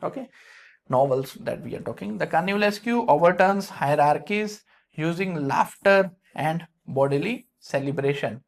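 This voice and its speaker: A man's voice: on the high side, animated, moderate pace, gravelly. A man with an Indian English accent, tinged by South India, explaining literary theory in a loose lecture style with frequent fillers and restarts. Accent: Indian English with South Indian influence. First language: Hindi. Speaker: literature teacher.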